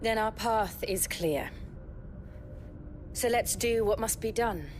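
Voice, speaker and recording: An actress playing a composed, determined female companion in a fantasy game, sober and measured. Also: medium pitch, a little mellow, slight background noise, lightly acted. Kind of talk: video game cutscene acting.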